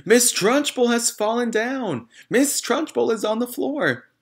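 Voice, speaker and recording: Man putting on a screaming, excitable schoolboy. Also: unhurried, creaky, full character voice. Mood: alarmed excitement. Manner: shrill screaming. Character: excitable young schoolboys screaming news in class.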